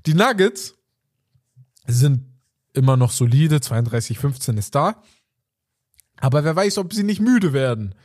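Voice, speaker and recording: Rambly guy on a podcast, voice a bit on the high side, keeps hunting for the right word. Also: measured pace, long pauses. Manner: animated, rambling, searches for words. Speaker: podcast co-host. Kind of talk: casual chat.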